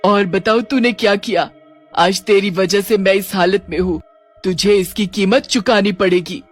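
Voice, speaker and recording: A woman voicing the vengeful, accusing ghost of a wronged woman in an animated horror story. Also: medium pitch, measured pace, breathy edge. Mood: furious, accusing. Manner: accusing, threatening. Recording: music under the voice.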